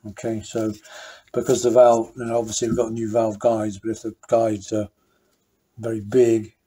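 A man's voice: lowish voice, moderate pace, slight background noise. Man talking through an engine rebuild. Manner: thinking aloud, relaxed, with many fillers and restarts. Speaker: motorcycle mechanic. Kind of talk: hands-on workshop commentary.

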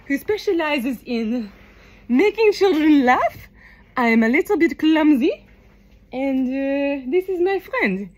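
Woman putting on a high, silly French doctor clown. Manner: halting, apologetic, playful. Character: clumsy, silly French lung doctor clown entertaining children. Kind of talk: improvised children's show skit.

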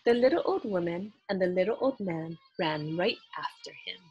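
Expressive woman reading a storybook. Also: lowish voice, lo-fi sound, slight background noise. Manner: clear, deliberate storytelling. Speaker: children's book reader. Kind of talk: expressive storybook read-aloud. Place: small indoor room lined with books.

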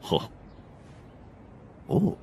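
A man voicing a bumbling, childish middle-aged cartoon character, gravelly and muttering in short phrases and grunts. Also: very slow, very noisy. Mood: muttering, puzzled. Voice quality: gravelly, mumbling. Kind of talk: animated comedy voice acting.

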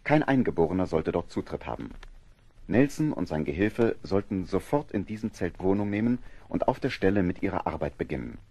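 A man narrating an audio drama from a script in a measured, factual storytelling manner. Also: sits low, sing-song, vocal fry, a little mellow, husky.

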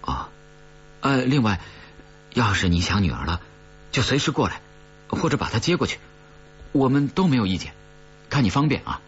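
Awkward, apologetic audiobook character played by a male narrator: halting, polite, lively intonation, slightly muffled sound. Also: medium pitch, breathy edge, pitched above the performer's own voice. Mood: reassuring, earnest. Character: awkward, earnest, apologetic man, an ex-rival.

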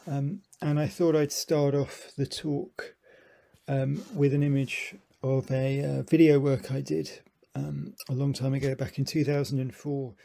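A man's voice: medium pitch, little pitch movement, unhurried, gravelly, dark tone, no echo. A man, an artist, opening a talk in a hesitant way with many fillers.

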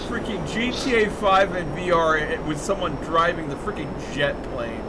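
A man in his thirties chatting casually on a gaming livestream, excitable and prone to swearing in exclamations. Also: a little high, moderate pace. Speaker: game streamer. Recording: noisy game vehicle sounds behind. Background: video game engine and vehicle noise.

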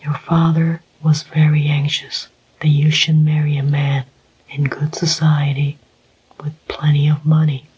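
A reader whispering the part of a cold, sneering young husband in a storybook, gruff and slow. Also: medium pitch, completely flat delivery, darkish tone, rough recording.

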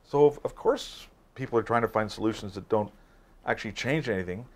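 Male, 60s, lowish pitch. Expert guest in casual office conversation, explanatory, halting with repeated words. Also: a little mellow. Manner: halting, repeats words while forming points. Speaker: academic expert, interview guest. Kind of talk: informal interview conversation, explanatory. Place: small office, indoors.

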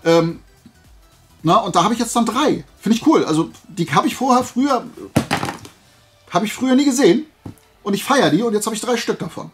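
Higher-voiced man in a casual, rambling vlog. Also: lively intonation, normal speed, long pauses, faint music behind. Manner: enthusiastic, rambling, colloquial. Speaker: video host showing collectible finds. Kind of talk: casual unscripted haul chat to viewers.

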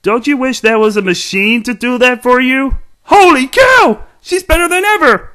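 A man voicing a dim-witted, excitable cartoon robot sidekick, with a goofy, eager, exclamatory delivery. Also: extremely high pitch, normal speed, exaggerated voice. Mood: excited. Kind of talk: cartoon character voice demo.

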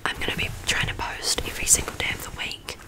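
Young New Zealand woman whispering ASMR. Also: fast talker. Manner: rambling, chatty whisper with many ums. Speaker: ASMR creator. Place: small bedroom, indoors. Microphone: studio mic on stand, close up.

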